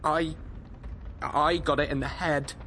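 An actor playing a fragile, impassioned young English soldier and husband in a radio drama, halting and stammering with emotion. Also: male voice, a little high, flat delivery, sharp tone, a little hiss or hum. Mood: distraught.

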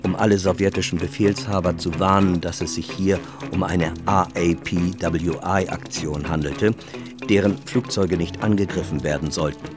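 A man's voice: low-pitched, steady tone, moderate pace, music in the background, lightly acted. An actor in a radio drama playing a man formally reading out a book's text. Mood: formal, solemn. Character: formal male reader of a conspiracy book's text.

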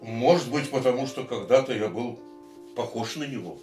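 A man's voice: mid-range voice, normal speed, darkish tone, natural voice. An actor in a radio drama playing a world-weary, brooding yet tender man, with theatrical intonation. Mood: brooding, bitter. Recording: roomy echoing radio studio, music underneath.

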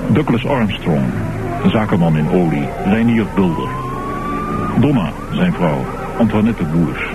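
A man's voice: deep voice, lively intonation, unhurried. A man reading scripted closing credits as a radio announcer. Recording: dull radio broadcast under loud music. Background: loud music.

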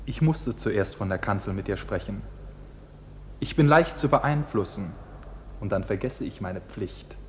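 An actor playing a solemn prison chaplain, stern yet gentle, with measured, weighty delivery in a classic radio drama. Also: male voice, on the low side, expressive. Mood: solemn, admonishing. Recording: noisy old radio recording, long reverb.

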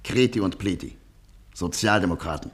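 An actor in a German radio play, playing an obsequious, shifty informer with a clipped, deferential manner. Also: male voice, deep voice, normal speed, natural voice. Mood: ingratiating. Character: obsequious one-eyed male informer, eager and shifty.

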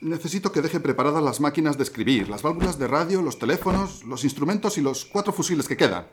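Man as a naval lieutenant, lively pitch, brisk commands, radio drama, faint hiss. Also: natural voice. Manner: brisk, giving orders.

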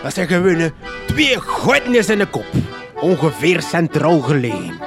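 An actor playing an eccentric, rambling, boastful old sea captain with a gravelly voice in a comedy radio drama. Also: male voice, on the high side, very animated, unhurried, music in the background. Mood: rambling, mysterious. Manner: rambling, repetitive, boastful tall-tale telling.

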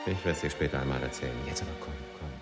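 An actor playing a romantic, effusive middle-aged husband in a radio play, with a lyrical, theatrical way of speaking. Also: male voice, extremely low pitch, racing, over loud music. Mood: tender, rapturous.

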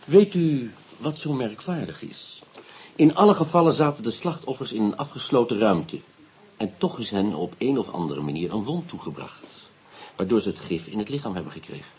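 An actor playing a blunt, probing Dutch detective in a radio drama, with a very husky, rough voice and a wry, direct manner. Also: male voice, lowish voice, animated, moderate pace, dark tone, highs cut off. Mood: earnest.

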